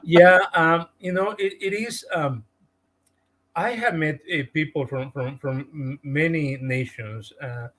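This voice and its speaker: A man with a Spanish-speaking Latin American accent, a real estate agent guest telling a story in an animated podcast interview. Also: on the high side, slow pace, low-quality recording. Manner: animated, retells conversations with emphasis.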